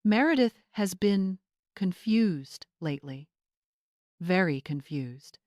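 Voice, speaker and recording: A woman's voice: lowish voice, animated, slow, darkish tone, dry recording, studio quality. A woman voicing a scheming, imperious bride in an audiobook, theatrical and syrupy with a snappish edge. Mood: falsely sorrowful. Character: scheming social-climbing bride, fake-sweet and imperious.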